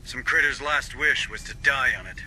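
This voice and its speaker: A hard-bitten marine sergeant from a sci-fi game who trades sarcasm for orders. His voice is bright and edged, at a middling pitch, with faint game ambience underneath. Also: moderate pace, slight background noise, poor audio. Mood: wry. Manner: dry, sarcastic, commanding. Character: wry gruff male marine sergeant, middle-aged. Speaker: marine sergeant leading a squad. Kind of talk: video game dialogue.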